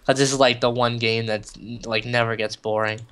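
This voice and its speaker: A man's voice: medium pitch, flat delivery, moderate pace, fairly bright tone, clear, resonant tone. A young man co-hosting a gaming video, telling a story in loose, filler-heavy casual chat. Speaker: gaming channel co-host. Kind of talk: casual chat over gameplay.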